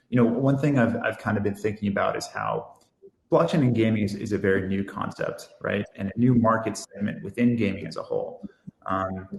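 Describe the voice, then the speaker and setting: A man's voice: lowish voice, creaky, darkish tone. A man moderating a conference panel, framing questions hesitantly and repeating words. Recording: echoey room with faint noise.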